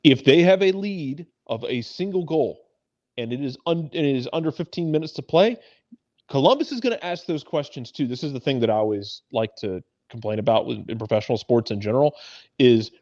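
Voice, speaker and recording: Male sports analyst, medium pitch, conversational and emphatic, dry sound with a little lo-fi dullness. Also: expressive, a little fast, dark tone, no room sound. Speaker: soccer pundit on a talk show. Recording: slightly dull, lo-fi audio.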